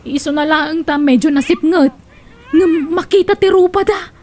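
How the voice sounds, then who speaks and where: A woman's voice: high voice, normal speed, a little mellow, natural voice. A woman playing an agitated, gossiping villager in an Ilocano comedy radio drama, exclaiming as she tells her news in an Ilocano accent. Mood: alarmed tattling. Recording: clearly noisy background.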